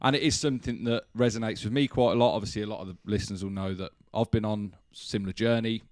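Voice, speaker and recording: A young man hosting a casual podcast in a small studio. His questions run loose, padded with fillers and repeated words. The voice is fairly low and recorded close and dry at a desk mic. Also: fairly even intonation, fast talker, a little mellow, no echo. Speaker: podcast host. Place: small studio room with curtains. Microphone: desk mic on boom arm, headphones.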